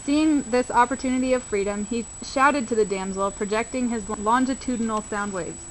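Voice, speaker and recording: A woman's voice: a little high, normal speed. A woman reading scripted storybook narration as the narrator of an amateur video with slightly noisy sound.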